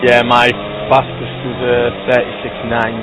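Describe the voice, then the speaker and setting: Matter-of-fact male sim racer, flat delivery, heavy car noise. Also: mid-range voice, normal speed. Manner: matter-of-fact about his setup.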